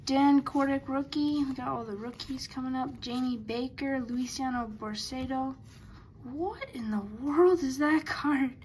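A woman's voice: high-pitched, a little slow, a little mellow, slight background noise. A woman chatting casually as she opens trading cards, stumbling over player names. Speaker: card collector. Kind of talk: casual card-opening commentary. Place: indoors at a table.

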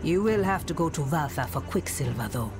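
A woman playing a sombre, guarded swamp witch in a dark fantasy game, speaking in a measured way. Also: deep voice, animated. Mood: guarded, sceptical. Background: game music.

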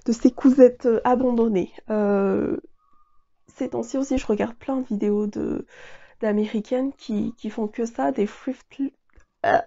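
Young woman, stuffy nose from a cold, gravelly mellow voice, chatty vlog, bit dull sounding. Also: a little high, steady tone, a little slow. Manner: chatty, many fillers and restarts. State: nasal from a cold. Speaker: sewing vlogger. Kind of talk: casual talk to camera. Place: small bedroom sewing corner, indoors.

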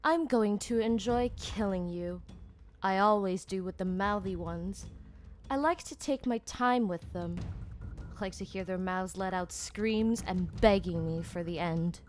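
Woman playing a cruel female assassin, taunting, menacing, unhurried delivery, audio drama, music underneath. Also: medium pitch, a little mellow, close to an everyday voice. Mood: cold, taunting. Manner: menacing, deliberate.

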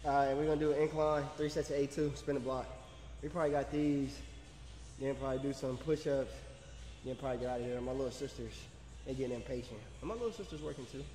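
Young man, laid-back gym vlogger, mellow voice, long echo from a camera across the room. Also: mid-range voice, quick pace, soft background music. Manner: laid-back, talks between sets in slang. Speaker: fitness vlogger. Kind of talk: casual workout vlog narration. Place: large indoor gym at night. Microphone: camera on a stand across the room. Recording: echoey gym, far from camera.

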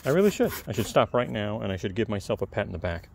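Calm, gravelly man chatting outdoors. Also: on the low side, fast talker, slight background noise. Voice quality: soft, calm voice. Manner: chatty, rambling asides to the viewer. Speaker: video creator. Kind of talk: casual how-to talk while working. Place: outdoors on a lawn. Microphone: camera held close, point of view.

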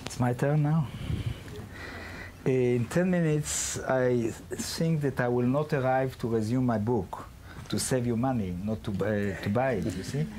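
Man lecturing in halting English. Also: mid-range voice, noisy background. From Israel. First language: not English. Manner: halting, non-native phrasing with restarts. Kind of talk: public lecture.

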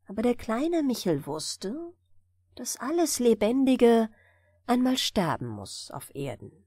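A woman's voice: a little high, big pitch swings, takes it slowly, mellow, dry recording, studio quality. A woman storyteller narrating a scripted bedtime fairy tale for an audiobook.

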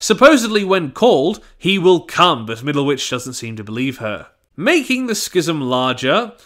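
Male reviewer, bright forceful voice, highly animated delivery, blunt and sweary scripted narration. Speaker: reviewer, channel host.

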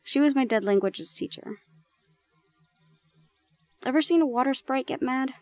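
A woman playing a shy, gentle young gorgon in an intimate audio roleplay, speaking softly and haltingly. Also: high voice, no room sound, faint music behind. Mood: reflective, confiding. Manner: soft, hesitant, halting.

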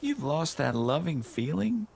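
An actor playing a smug, flirtatious Hollywood action-star fighter in a video game, teasing and theatrical. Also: male voice, fairly high pitch, sing-song, unhurried, vocal fry, darkish tone, a little hiss or hum, full character voice. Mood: smug, flirting. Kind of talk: video game character dialogue.

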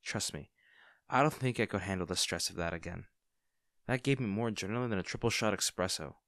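A man's voice: low-pitched, brisk, dry recording, studio quality. A man playing a kind young barista with a shy crush, speaking reassuringly and a little hesitantly in an intimate audio roleplay. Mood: light, chatty. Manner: soft-hearted, reassuring, hesitant. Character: kind young male barista, caring, shyly smitten. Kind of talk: intimate comfort audio roleplay, natural conversational acting.

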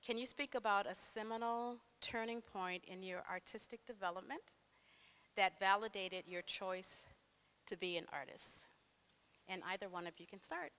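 Woman moderator, halting and mid-pitched. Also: steady tone, a bit bright, clean tone. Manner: reads thanks, then asks questions with frequent pauses. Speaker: panel moderator. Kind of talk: opening remarks and questions at a panel. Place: art gallery, indoors.

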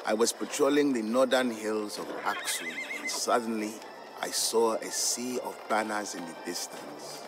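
A man voicing a stern, bitter old merchant and war veteran who recounts things gravely for a game story. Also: lowish voice, measured pace, over music, natural voice.

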